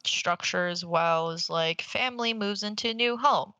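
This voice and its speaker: A woman's voice: lowish voice, measured pace, pushed and bright, clear, resonant tone, dry recording. A young woman co-hosting a casual movie podcast, blunt and sweary, given to hyperbole. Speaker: podcast host.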